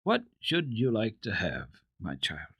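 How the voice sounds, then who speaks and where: A man's voice: on the low side, wildly swooping pitch, measured pace, husky, no echo, heavily acted. Man doing a kindly genteel old granny for a storybook, encouraging, very up and down, dry sound. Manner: encouraging, gently exclamatory. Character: kindly, encouraging elderly grandmamma, genteel and pious. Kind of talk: dramatic reading of children's classic.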